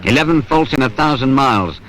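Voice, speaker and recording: A man's voice: mid-range voice, animated, a little fast, fairly bright tone, natural voice. An actor playing a wry, world-weary British working man who narrates a training film in a colloquial, grumbling, sardonic way. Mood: wry, exasperated. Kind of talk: conversational scripted narration in a 1970s training film. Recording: noisy 1970s film soundtrack.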